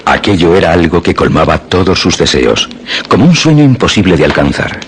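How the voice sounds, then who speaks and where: A man narrating a scripted suspense radio drama in a measured, dramatic way. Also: low-pitched, sing-song, noisy background.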